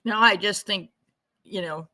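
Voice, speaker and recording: A woman's voice: mid-range voice, unhurried, pushed and bright. A woman from the United States co-hosting a podcast in casual chat, talkative and plainspoken. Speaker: podcast co-host.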